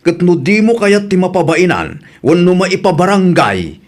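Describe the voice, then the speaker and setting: An actor with an Ilocano accent playing a forthright, righteous village husband in a radio comedy drama. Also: male voice, sits high, lively intonation, moderate pace. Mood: scolding.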